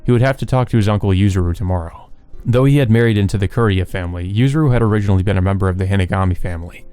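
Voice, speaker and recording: A man's voice: on the low side, racing, warm tone, clean tone. A man reading a visual novel aloud and commenting casually as he plays. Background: game music.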